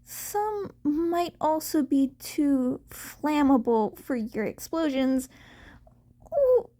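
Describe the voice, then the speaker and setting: A woman's voice: sits high, dark tone, pitched above the performer's own voice, heavily acted. A woman voicing a nervous, rambling teenage boy vigilante, stammering and hesitant, in a fan fiction audiobook.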